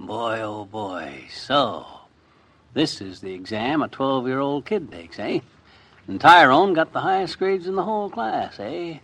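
An actor playing a boastful, scheming middle-aged husband in a vintage radio comedy, folksy and needling. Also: male voice, medium pitch, big pitch swings, a little slow, fairly bright tone, exaggerated voice. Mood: gleeful, scheming. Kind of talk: 1950s radio comedy acting.

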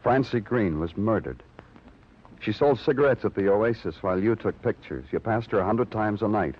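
An actor playing a weary, probing police detective who narrates his own cases in a measured, terse 1950s radio crime drama style. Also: lowish voice, expressive, husky. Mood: pressing, stern. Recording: muffled old radio broadcast with music.

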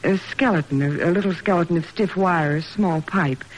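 An actress playing a brisk, encouraging woman sculptor and teacher in a classic radio drama, instructive and approving. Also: lowish voice, lightly acted. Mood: brisk, appraising. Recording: noisy old radio recording.

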